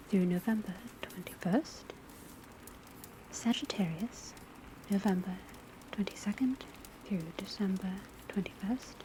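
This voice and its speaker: A woman playing a witch academy professor, lecturing in a soft, breathy ASMR voice, often whispered, in a measured and formal way. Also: mid-range voice, close to an everyday voice. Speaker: teacher. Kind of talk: ASMR classroom roleplay lecture. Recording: steady rain and crackling fire noise. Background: rain on rooftop, fireplace, pencil writing.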